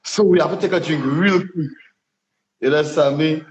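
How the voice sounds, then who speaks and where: A man with a Dominican accent answers interview questions in a laid-back way, pausing with ums as he thinks aloud. His voice sits a little high. The room echoes, and the recording sounds dull and lo-fi. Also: a little mellow. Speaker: DJ guest.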